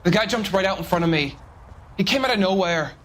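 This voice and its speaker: An actor playing a nervous, defensive American suspect in a crime video game, making excuses in a pleading, anxious way. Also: male voice, high voice, animated, brisk, a bit bright, slight background noise.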